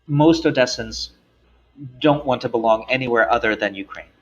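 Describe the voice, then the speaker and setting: A man talks fluently and steadily, as if giving a lecture, in a middle-pitched voice. The recording sounds dull and lo-fi. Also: faint music behind. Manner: fluent, measured lecture-like explanation. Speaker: interviewee, expert. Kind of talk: interview commentary.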